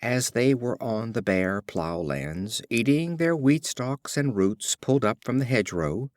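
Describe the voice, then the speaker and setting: A man narrating fables as an audiobook reader, with a measured, expressive storytelling delivery. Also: medium pitch, no echo, studio quality.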